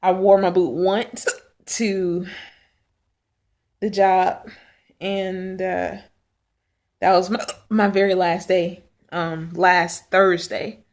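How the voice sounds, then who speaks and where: A woman with a raspy, hoarse voice and a sore throat talks at home in a casual video diary. She pauses often to clear her throat. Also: on the low side, flat delivery. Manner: halting, frequent throat clearing. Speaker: vlogger.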